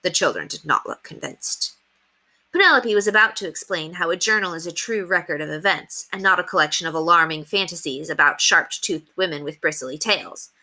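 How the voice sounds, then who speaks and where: A woman reading a children's book aloud as an expressive storyteller. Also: fairly low pitch, brisk.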